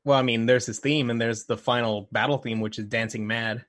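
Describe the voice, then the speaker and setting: A man's voice: medium pitch, steady tone, fairly quick, a bit bright, dry recording, clean studio sound. A man co-hosting a podcast, chatting casually in geeky banter. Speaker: podcast co-host.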